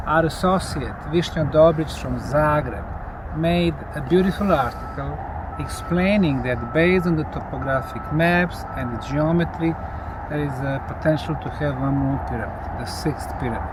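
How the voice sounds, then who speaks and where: A middle-aged man with a fairly high voice presents on location out in the open. He speaks in measured, emphatic phrases like an announcement, with clear outdoor noise around him. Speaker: presenter and lead investigator. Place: open grassy hillside outdoors.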